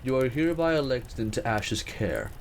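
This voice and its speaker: A man's voice: medium pitch, very animated, moderate pace, vocal fry, a little hiss or hum. A man playing a protective, commanding king in a period romance audio drama, speaking formally and measuredly. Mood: resolute, protective. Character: protective, commanding king, teasing but fond cousin.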